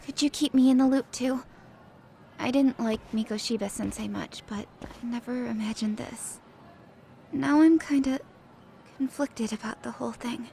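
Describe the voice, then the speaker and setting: A high, creaky female voice as a reserved schoolgirl in a game cutscene. She is cool and subdued, a little hesitant. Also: normal speed, mellow, slight background noise. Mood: conflicted, subdued. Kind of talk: video game cutscene acting.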